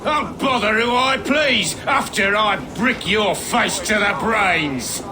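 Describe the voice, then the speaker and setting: An actor playing a grumbling, belligerent alehouse brawler in a video game cutscene, rowdy and threatening. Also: male voice, sits high, takes it slowly, crowd noise. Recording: loud music behind the voice.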